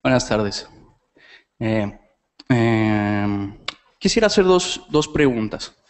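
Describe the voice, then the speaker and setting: A man's voice: on the low side, animated, slow pace, clear, resonant tone. A young man with a Mexican accent, asking questions from the floor at a lecture, hesitant, with many fillers and repeated words. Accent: Mexican Spanish. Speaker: audience member asking a question.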